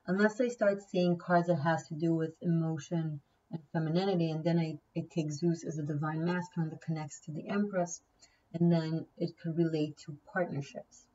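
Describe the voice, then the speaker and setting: Woman reading tarot cards, hebrew is her first language, low-ish voice, a bit far from a dull mic. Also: mellow. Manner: chatty, thinking aloud, repeats key words. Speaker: tarot reader. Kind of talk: casual tarot reading to camera.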